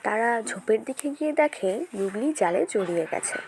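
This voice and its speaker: A woman's voice: on the high side, lively intonation, normal speed, darkish tone, slight background noise. A woman storyteller giving scripted narration of a children's story.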